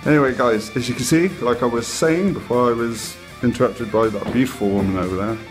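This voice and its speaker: A man talks casually to camera in a hotel room, chatty and playful. His voice sits at an ordinary middle pitch, with faint music playing in the background. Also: brisk. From England. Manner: chatty, playful, a little tipsy-joking. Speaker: vlogger.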